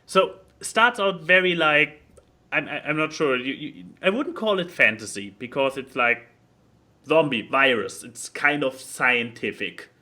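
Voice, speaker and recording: A man's voice: on the high side, animated, unhurried, sharp tone. A man chatting casually about a book, rambling and repeating words as he thinks aloud. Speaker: podcast speaker reviewing a book. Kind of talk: casual opinionated chat.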